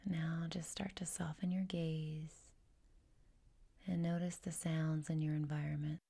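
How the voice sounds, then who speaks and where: A woman leading a guided meditation in a gentle, soothing, unhurried way, with a breathy, whispery, husky voice. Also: deep voice, little pitch movement. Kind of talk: guided meditation, soft instruction.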